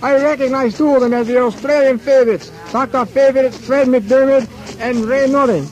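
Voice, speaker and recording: A chatty field reporter in a radio drama. His voice is very high for a man. He describes a race from the spot with amazement. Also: fairly even intonation, moderate pace, rough recording. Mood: excited.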